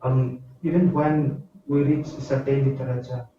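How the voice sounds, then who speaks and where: A man's voice: mid-range voice, level tone, a little slow, warm tone, a little hiss or hum. Distant man in a very echoey room. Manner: hesitant, with fillers. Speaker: teacher in the audience. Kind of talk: asking a follow-up in a seminar. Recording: distant, very echoey, dull audio.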